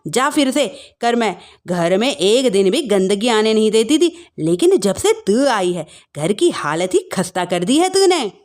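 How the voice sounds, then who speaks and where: A nagging older mother-in-law, acted out by a woman in a family story. She scolds with sarcasm and theatrical exclamation, her pitch leaping about in lively swings. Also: a little slow, hardly any pauses. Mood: scolding.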